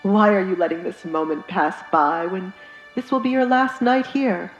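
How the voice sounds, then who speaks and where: A woman's voice: medium pitch, moderate pace, roomy sound, muffled recording, faint music behind, lightly acted. A woman voicing a stern, pushy father who demands obedience from his daughter, in dramatized audiobook dialogue. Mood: coaxing, insistent.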